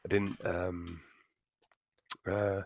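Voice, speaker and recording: Male co-host, very deep, hoarse and croaky, halting delivery, dry mic. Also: flat delivery, no echo. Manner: hesitant, halting with fillers. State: hoarse from a chesty cold. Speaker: podcast co-host. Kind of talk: casual chat.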